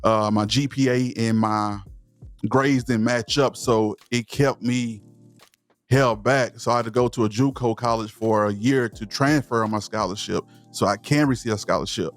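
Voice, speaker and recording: A man's voice: medium pitch, fairly even intonation, normal speed, soft background music. A man in his thirties from East Texas with a Southern accent, speaking with conviction and passion as a podcast guest. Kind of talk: podcast interview.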